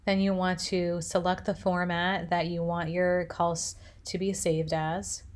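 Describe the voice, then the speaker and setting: A woman presenting a software tutorial, narrating instructions step by step. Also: on the low side, level tone, a little slow.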